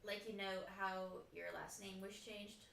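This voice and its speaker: A woman's voice: medium pitch, flat delivery, measured pace, vocal fry, a bit bright. A woman in casual chat, playful and mimicking lines as she talks. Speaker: co-host. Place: hot tub. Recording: echoey room, mic far off, noisy.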